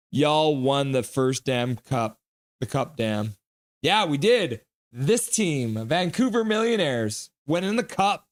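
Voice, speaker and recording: Man riffing on a hockey stream. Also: medium pitch. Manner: reads chat aloud, jokey and loose, with quick bursts of play-by-play. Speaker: live-stream host. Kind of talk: casual chat and play-by-play commentary.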